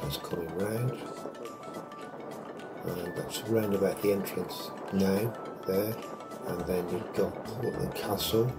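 A low-pitched man talks slowly and loosely as he walks a breezy waterfront path, filling pauses with ums and uhs. His voice comes through a camera mic battered by wind, with music playing underneath. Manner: unhurried, rambling with many fillers. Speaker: vlogger walking tour guide. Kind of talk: casual walking commentary. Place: outdoors on a windy seaside path. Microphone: handheld camera mic in wind. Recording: wind noise with background music.

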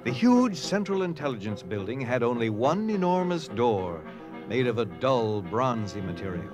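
A man's voice: medium pitch, sing-song, normal speed, music in the background. A man narrating a scripted story dramatically in an audio drama.

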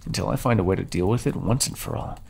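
A brooding male vampire, acted out by a man, speaks in a deep, husky voice with a gravelly creak. The delivery is theatrical yet intimate, in the style of ASMR roleplay. Each phrase is measured, remorseful and quietly menacing. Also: full character voice. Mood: menacing, possessive. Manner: slow, deliberate, theatrical, intimate. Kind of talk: ASMR roleplay monologue, scripted dramatic narration.

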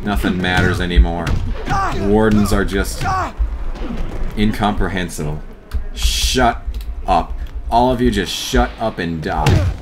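A man doing a let's play commentary, exasperated, swearing and yelling at the game. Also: sits low, slow, long pauses. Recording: commentary over loud game music. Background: game music.

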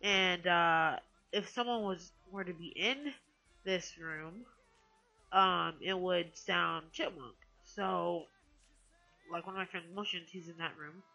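A woman with a bright, slightly low-set voice talks through a casual screen-recorded tutorial. She rambles and hesitates, correcting herself as she goes, with some music playing behind her. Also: slow, gravelly, dull audio. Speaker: tutorial host.

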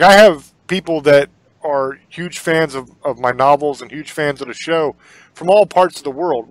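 Male podcast host, casual and earnest, slightly high voice, dry close sound. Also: no room sound. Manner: earnest, rambling, repeats words. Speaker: podcast host and horror author. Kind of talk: casual sign-off talk.

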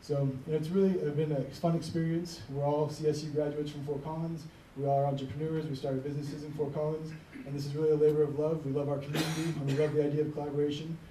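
A man with a dark, mellow, flat-pitched voice talks quickly as he presents a website to a conference audience. He is heard in an echoey room from a distance. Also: slight background noise. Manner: quick, walking through a demo. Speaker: panelist, platform founder.

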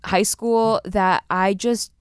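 A woman in her thirties hosting a casual podcast, chatty and animated, recorded on a desk mic in a small studio. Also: medium pitch, slow, clean tone, dry recording. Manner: animated, chatty, slips into playful put-on voices. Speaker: podcast host. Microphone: desk mic on a boom arm.

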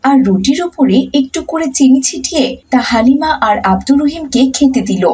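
A woman reads scripted cartoon narration. Her voice is high yet mellow and dark in tone. Some faint ambient noise sits behind the storytelling. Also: animated, moderate pace.

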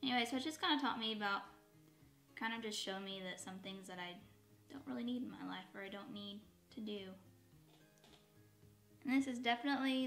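A chatty woman with a bright, forceful tone and a slightly raspy, dry voice talks in a rambling, self-correcting way as she does her makeup. She sits at desk distance from the camera in an echoey room at home, with faint music behind her. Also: medium pitch. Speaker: beauty vlogger. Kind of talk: chatty get-ready-with-me. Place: bedroom at home, indoors.

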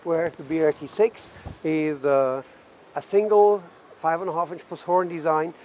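A man in his sixties giving a measured, technical product presentation as a head of research and development at a trade show booth. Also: fairly high pitch, a little mellow, a little hiss or hum. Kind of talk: semi-scripted product presentation. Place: trade show booth in a large exhibition hall.

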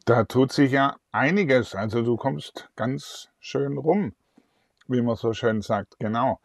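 Middle-aged host, rambling and friendly, dry lapel sound. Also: male voice, mid-range voice, slow, gravelly, no room sound. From southwest Germany. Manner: chatty, upbeat, rambling. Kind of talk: online celebrity interview. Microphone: lapel mic.

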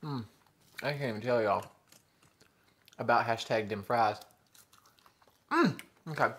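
A man's voice: mid-range voice, a little slow, fairly bright tone. A man in his thirties with a Southern US accent, chatting to viewers between bites of food in a living room. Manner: chatty, addresses viewers as y'all, chews between remarks. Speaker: mukbang vlogger. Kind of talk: casual eating show chat. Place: living room with sofa and window blinds. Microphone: camera in front at table distance.

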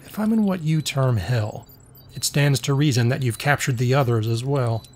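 Man acting an earnest, deliberate scientist. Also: mid-range voice, animated, darkish tone, slight background noise, lightly acted. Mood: defiant, reasoning. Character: earnest, rational, defiant male scientist. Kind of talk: audio drama acting.

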